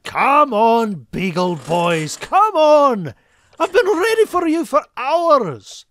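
Cranky, miserly old cartoon duck. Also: male voice, very high-pitched, very animated, slow pace, fairly bright tone, full character voice. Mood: defiant. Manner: exclamatory, fussy, old-timey scolding. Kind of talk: comic dub cartoon acting.

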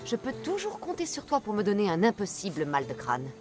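A woman's voice: mid-range voice, wildly swooping pitch, a little fast, darkish tone, faint music behind. An actress playing a tough, wry female mercenary hunter in a French game dub, dry and blunt. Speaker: video game voice actor.

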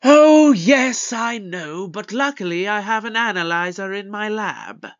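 Brisk, authoritative space detective in an audio drama. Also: mid-range voice, animated, sharp tone, muffled recording. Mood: brisk, probing.

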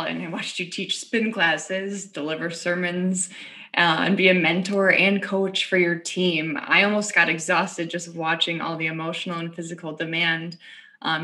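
Young female interviewer, calm questions, crisp bright tone, level delivery on a webcam. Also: on the low side. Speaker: interview host. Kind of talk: video call interview. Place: room with plain grey wall indoors. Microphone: video call webcam.

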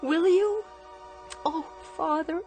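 An actress in a radio drama playing an anguished, defiant grown daughter with an emotional, dramatic delivery. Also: female voice, extremely high pitch, slow pace, darkish tone, faint music behind, full character voice.

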